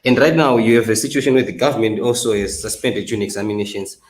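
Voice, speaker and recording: Passionate man, fairly low voice. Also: fairly even intonation, quick pace. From Zimbabwe. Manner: passionate, with hesitations. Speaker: political scientist guest. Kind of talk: discussion panel. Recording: echoey room.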